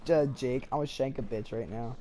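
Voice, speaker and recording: A man from Canada giving casual gameplay commentary, excitable and swearing, a little hoarse from yelling. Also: mid-range voice, brisk, heavy background noise. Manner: excitable, swears and yells at the game. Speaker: gameplay streamer.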